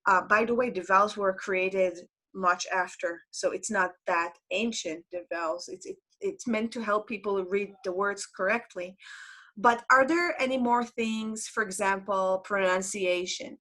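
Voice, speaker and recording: A woman's voice: mid-range voice, measured pace, sharp tone. Chatty woman teaching online. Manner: chatty, repeats words, asks students questions. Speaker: Hebrew teacher. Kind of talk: live online class. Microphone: computer mic on video call.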